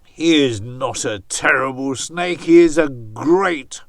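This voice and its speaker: A man's voice: on the high side, animated, slow pace, no room sound, pitched above the performer's own voice. A man voicing a cunning male spider trickster in a folk tale, with a wheedling, fawning, theatrical manner. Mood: grandly stern. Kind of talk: storyteller's character voice.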